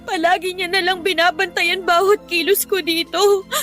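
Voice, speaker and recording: A woman's voice: extremely high pitch, little pitch movement, normal speed, a bit bright. A woman playing a crying, aggrieved young wife in a Filipino radio drama, plaintive and complaining. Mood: tearful, aggrieved. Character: tearful aggrieved young wife, feeling watched at home. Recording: music under the voice.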